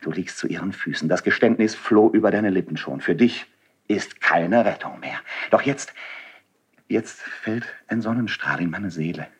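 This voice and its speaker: An actor playing a noble, self-sacrificing friend of a prince in a classical verse radio drama, declamatory and emotional, moving between hushed and fervent delivery. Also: male voice, low-pitched, moderate pace, breathy edge. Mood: fervent, urgent.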